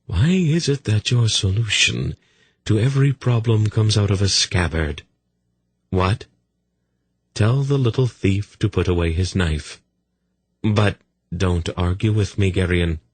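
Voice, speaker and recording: Man doing a dry sardonic mind-voice, commanding, raised pitch, husky, storybook. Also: a little slow, long pauses, no room sound.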